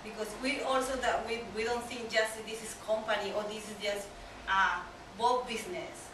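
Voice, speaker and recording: A young woman from Peru with a Peruvian Spanish accent gives an informal presentation, searching for words in halting non-native English. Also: fairly high pitch, steady tone. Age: young adult. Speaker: exchange trainee giving a talk. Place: small meeting room with seated audience. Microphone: far camera microphone. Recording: distant, very echoey room, clear background noise.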